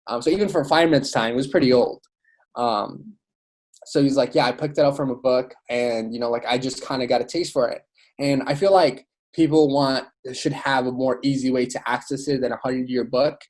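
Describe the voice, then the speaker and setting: A man's voice: mid-range voice, moderate pace, darkish tone. A young man, born in Iraq, talking informally and enthusiastically as a podcast guest, with lots of "like" and "you know". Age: young adult. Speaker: podcast guest, student author and educator. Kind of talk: casual interview talk.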